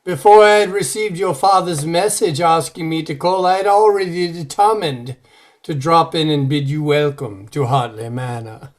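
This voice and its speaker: A man's voice: fairly high pitch, moderate pace, lightly acted. A man playing a formal, courteous village reverend in an old-time radio style drama. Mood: formal, reserved.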